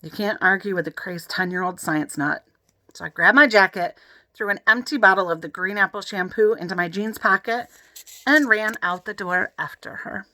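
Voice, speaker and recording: Woman, children's story reader, expressive, bright voice, dry sound. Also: mid-range voice, moderate pace, no room sound. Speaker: audiobook reader.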